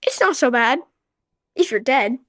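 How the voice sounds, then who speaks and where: A very high, cheeky young girl. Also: animated, a little slow, dry recording, studio quality. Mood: teasing. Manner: bright, teasing and blunt. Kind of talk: radio play acting.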